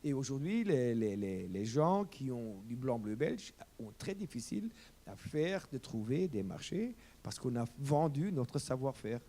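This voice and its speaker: A man's voice: medium pitch, big pitch swings, measured pace, mellow. A German-speaking man on a debate panel, speaking French with passion, repeating himself and rambling as he argues. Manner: impassioned, repeats words, rambling. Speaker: farmer panelist.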